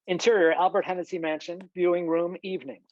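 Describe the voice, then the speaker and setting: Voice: medium pitch, little pitch movement, fast, a little mellow, no echo. A narrator reads screenplay scene headings and stage directions as scripted narration over a video call. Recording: slightly dull video-call audio.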